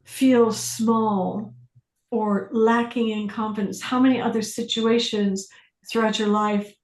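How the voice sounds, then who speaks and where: A woman's voice: a little high, fairly even intonation, darkish tone. Woman leading a group class, roomy echo. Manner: deliberate, pausing, repeating phrases for emphasis. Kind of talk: guided self-inquiry teaching with questions.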